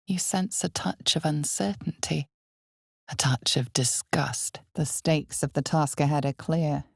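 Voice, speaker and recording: A woman playing an ominous, knowing narrator in a fantasy video game, with measured, theatrical, deliberate phrasing. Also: low-pitched, vocal fry, darkish tone, slightly rough, no echo, studio quality, heavily acted. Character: female game narrator, ominous, knowing, darkly solemn storyteller. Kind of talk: dramatic second-person fantasy narration.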